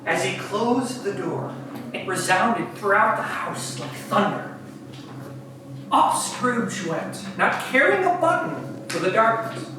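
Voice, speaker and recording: A woman's voice: medium pitch, very animated, takes it slowly, background noise. A woman narrating a comic dramatic reading in a theatrical way with wry asides, heard from a distance in an echoey room. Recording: echoey room, distant mic, music behind.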